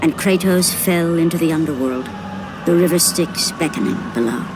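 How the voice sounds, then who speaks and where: An actress playing a grave storyteller, narrating a mythic video game tragedy in a solemn, portentous way over music. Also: female voice, deep voice, low-quality recording. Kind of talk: dramatic scripted game cutscene narration. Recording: loud music behind the voice.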